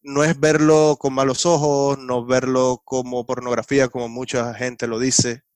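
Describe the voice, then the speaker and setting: Cuban man with a smooth voice. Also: mid-range voice, little pitch movement, unhurried, dry recording. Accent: Cuban Spanish. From Cuba. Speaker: podcast guest, photographer. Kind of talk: casual interview chat.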